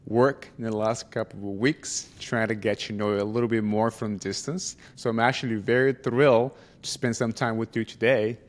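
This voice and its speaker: A man's voice: lowish voice, flat delivery, normal speed, hardly any pauses. Enthusiastic male podcast host. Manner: enthusiastic, conversational. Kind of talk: interview questions.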